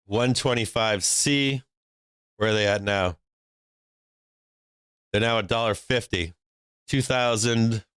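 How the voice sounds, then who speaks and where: Brash joking man with a low voice. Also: fairly bright tone, clean tone, no echo. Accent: faint Boston. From Boston. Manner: brash, joking, laughs often. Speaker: stock market livestream host. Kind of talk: live trading commentary.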